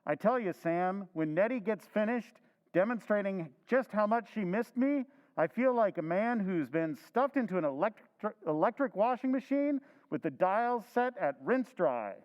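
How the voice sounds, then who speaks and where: A man's voice: high voice, lively intonation, normal speed, long reverb tail, highs cut off. A man playing a henpecked husband in an old-time radio drama recreation, chatty and easily amused. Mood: weary. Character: harried henpecked husband, middle-aged man.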